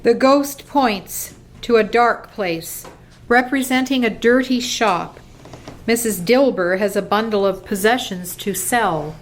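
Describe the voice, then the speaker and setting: A woman narrating a radio play in a measured, deliberate storytelling style. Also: a little high, slight background noise.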